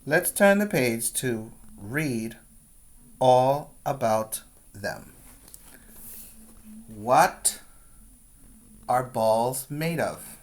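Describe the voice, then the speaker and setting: Very slow-paced male preschool teacher reading aloud. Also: medium pitch, expressive. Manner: deliberate, instructional phrasing with repeated questions. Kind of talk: read-aloud for young children with explanatory asides.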